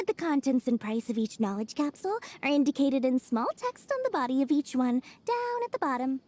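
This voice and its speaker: A woman voicing a small, shrewd, greedy merchant in a video game, with theatrical sales patter and gushing flattery. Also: sits high, fairly quick. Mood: salesy. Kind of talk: animated video game character acting.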